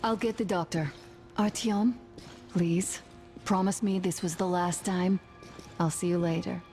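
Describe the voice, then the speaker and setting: An actress voicing a worried, earnest young wife in a dramatic video game scene, softly pleading. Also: female voice, on the low side, fairly even intonation, a little slow, faint music behind. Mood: gentle pleading.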